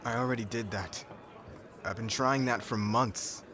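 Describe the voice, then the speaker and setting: A man playing a brash, lovelorn young warrior groom in an ASMR audio drama, halting and informal, sounding exasperated. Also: medium pitch, steady tone, noisy background, natural voice. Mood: dejected, pleading.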